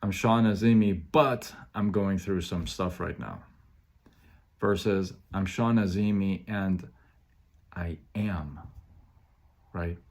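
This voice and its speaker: A man's voice: low voice, slow pace, pauses often. A man hosting a motivational live show, speaking emphatically with repeated phrases and an occasional stutter, greeting viewers by name. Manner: stutters at times.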